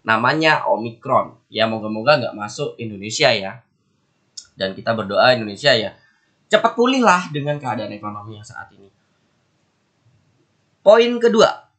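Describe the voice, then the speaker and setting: A man's voice: a little high, a bit bright. A man with a stuffy, nasal voice from a cold, chatting casually as a forex analysis host and talking straight to his viewers. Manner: chatty, repeats words, friendly asides to viewers. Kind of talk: casual market commentary to camera.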